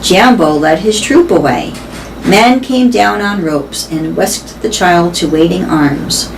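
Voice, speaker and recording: A woman voicing a wise, weary old female elephant who tells stories in a gentle, measured, thoughtful way. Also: fairly low pitch, a little hiss or hum, low-quality recording. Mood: calm storytelling.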